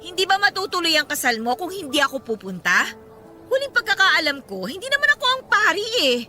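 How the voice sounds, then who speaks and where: A woman's voice: very high voice, very animated, a little fast, sharp tone, faint music behind, exaggerated voice. An actress playing an indignant, sarcastic mother who feels bitterly wronged, in an emotional radio drama style. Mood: irritated, dismissive. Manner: biting, sarcastic retorts.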